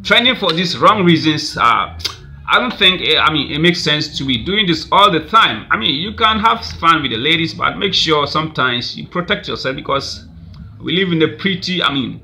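A man's voice: fairly high pitch, lively intonation, normal speed, sharp tone. A Ghanaian man in his thirties, filming himself at a webcam in a small room, rambling through celebrity gossip with constant fillers and frequent laughter. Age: 30s. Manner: rambling, laughs often, many "I mean" fillers. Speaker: vlogger. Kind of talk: casual celebrity gossip commentary. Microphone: webcam at desk.

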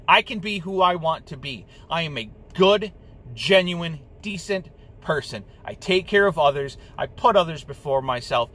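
A man's voice: on the high side, expressive, moderate pace, fairly bright tone. A young man vlogging from inside his car at night, talking heatedly and repeating phrases for emphasis, swearing now and then. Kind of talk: impassioned monologue to camera. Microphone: dashboard camera facing driver.

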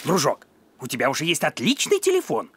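A woman's voice: low-pitched, wildly swooping pitch, brisk, fairly bright tone, breathy edge, no echo, heavily acted. A woman voicing a stern middle-aged father in a cartoon, firm and didactic. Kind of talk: animated cartoon voice acting.

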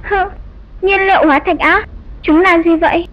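A woman's voice: extremely high pitch, steady tone, moderate pace, lo-fi sound, a little hiss or hum. A woman voicing a naive, inquisitive little grandchild bat in a dubbed educational cartoon. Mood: curious, puzzled. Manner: childlike, inquisitive. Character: curious, naive young grandchild bat, small and cheerful.

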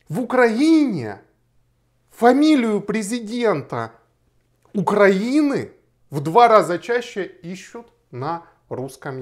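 Man, 30s, from Kharkiv, lawyer-vlogger; emphatic and argumentative, lively pitch, in a small room. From Kharkiv, Ukraine. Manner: emphatic, argumentative, gestures while talking. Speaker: lawyer and blogger. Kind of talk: direct-to-camera video reply. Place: small indoor room, plain wall.

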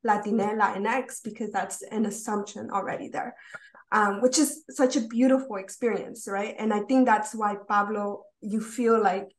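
A young woman who grew up in the United States speaks earnestly and from the heart as a panelist on a video call. Her voice sits at an ordinary pitch, and the audio carries a roomy echo. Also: steady tone, moderate pace. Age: young adult.

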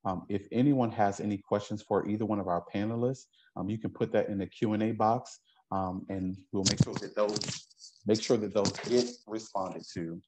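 A man's voice: fairly low pitch, fairly even intonation, moderate pace, a little mellow, low-quality recording. A man in his thirties moderating a webinar Q and A on a video call, friendly and with many ums. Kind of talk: hosting a webinar Q and A.

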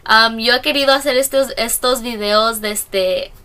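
A woman's voice: fairly high pitch, steady tone, measured pace, pushed and bright, smooth. A young woman, a native English speaker, chatting through a casual makeup tutorial in a small room, hesitant and self-correcting as she mixes in English words. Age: young adult. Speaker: beauty vlogger.

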